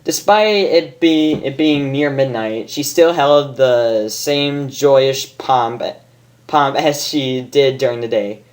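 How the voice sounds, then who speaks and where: A woman's voice: extremely low pitch, slow, a little mellow. A woman narrating a story informally as a fan fiction reader, stumbling over lines and rereading them with self-mockery. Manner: stumbling, re-reads botched lines, self-mocking.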